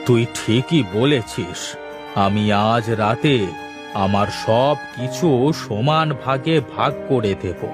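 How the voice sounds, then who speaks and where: A man's voice: mid-range voice, takes it slowly, over music, lightly acted. A man voicing an ageing, worried but fair-minded village father in a cartoon. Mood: firm. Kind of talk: Bengali cartoon moral tale dialogue.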